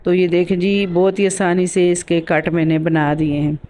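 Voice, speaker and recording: A woman's voice: fairly low pitch, level tone, normal speed, mellow. A woman with a sore throat giving a casual voice-over for a DIY craft tutorial as the host.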